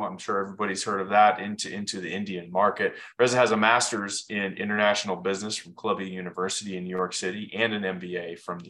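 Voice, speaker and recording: A man's voice: lowish voice, sharp tone. A man hosting an interview podcast in a casual, chatty style.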